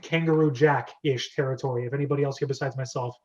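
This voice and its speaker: A man's voice: medium pitch, steady tone, quick pace, darkish tone. A man hosting a casual group chat in a chatty, rambling, enthusiastic way. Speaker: host of a script-reading club. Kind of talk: casual group chat over video call. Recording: dull, lo-fi call audio.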